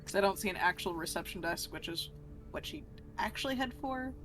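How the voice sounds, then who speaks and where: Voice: mid-range voice, lively intonation, a little fast, noisy background. A tabletop game player talking casually and thinking aloud while discussing what to do next.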